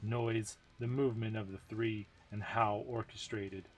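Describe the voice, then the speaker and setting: A man's voice: fairly low pitch, steady tone, measured pace, slight background noise, low-quality recording. Man reading a game story aloud. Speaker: narrator.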